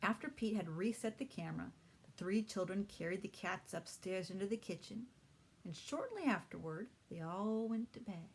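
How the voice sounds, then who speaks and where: A woman's voice: mid-range voice, expressive, moderate pace, slight background noise, low-quality recording. A woman reading a children's storybook aloud expressively, as a teacher does for her class.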